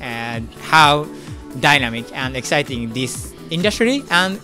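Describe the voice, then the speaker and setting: Hesitant young man, bright tone. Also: a little high, expressive, a little slow, soft background music. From Japan. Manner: hesitant, with many fillers. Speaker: university student. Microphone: handheld interviewer mic.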